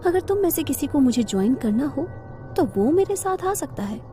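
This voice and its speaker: An actress playing a headstrong, defiant young college student in an audio horror story, with an assertive manner. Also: female voice, high-pitched, big pitch swings, moderate pace, warm tone, soft background music. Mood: determined. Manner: assertive, challenging.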